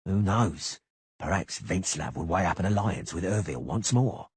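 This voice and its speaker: Dude doing a creepy low killer, super slow drawl, hissy, husky. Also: male voice, expressive, no echo, exaggerated voice. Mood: menacing drawl. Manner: drawling, hissing.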